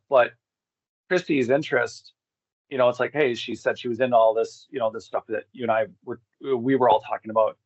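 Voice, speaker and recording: A man's voice: mid-range voice, no echo, lo-fi sound. A man talking casually as an interview guest, rambling and circling back to restate his points. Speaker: guest, expedition group co-founder.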